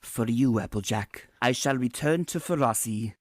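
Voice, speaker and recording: A woman voicing a brooding female zebra who speaks in measured rhymes for a cartoon comic dub. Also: rumbling low, a little mellow, no room sound, clean studio sound. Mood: pained. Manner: measured rhyming speech. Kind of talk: fan comic dub voice acting.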